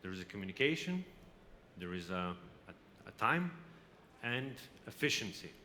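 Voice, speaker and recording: A man from Lithuania gives a conference presentation, speaking haltingly with frequent hesitations and restarts. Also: lowish voice, very animated, pushed and bright. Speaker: company representative presenting. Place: exhibition hall. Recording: reverberant hall, faint background noise.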